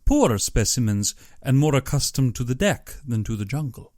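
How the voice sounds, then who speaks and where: A man's voice: mid-range voice, sing-song, normal speed, a little mellow, no room sound, clean studio sound, natural voice. A man voicing a genteel, menacing general in an audiobook, with pompous and exaggeratedly courteous speech. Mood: smug politeness. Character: genteel, menacing aristocratic general who hunts men.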